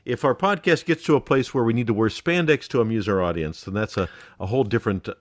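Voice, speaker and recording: A man's voice: mid-range voice, quick pace, no room sound, clean studio sound. A man co-hosting a podcast in casual comic banter, riffing with mock exasperation and repeating phrases for emphasis. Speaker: podcast co-host.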